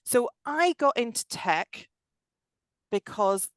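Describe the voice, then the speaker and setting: A woman's voice: on the high side, lively intonation, takes it slowly, clear, resonant tone, no room sound. A woman in her forties from Northern Ireland with a Belfast accent, an interview guest telling candid stories in a chatty, self-deprecating way. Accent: Belfast, Northern Irish. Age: 40s. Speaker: interview guest, tech director. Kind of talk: casual, candid storytelling.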